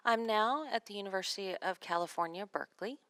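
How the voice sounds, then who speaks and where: A woman working as a sign language interpreter, voicing a signed seminar talk in measured, phrase-by-phrase delivery. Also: mid-range voice, a bit bright, clean tone, dry recording.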